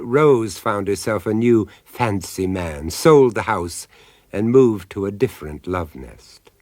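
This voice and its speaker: Older male narrator, very deep, husky, slow, lively intonation, grave and macabre. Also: dry recording. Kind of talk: dramatic horror narration.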